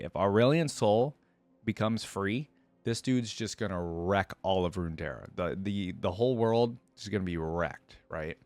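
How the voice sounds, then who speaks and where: Male reaction creator, lower-pitched, reads fantasy lore aloud with casual asides, dry close recording. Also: no room sound. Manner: stops to dissect and paraphrase what he reads. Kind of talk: reading a story aloud with casual commentary.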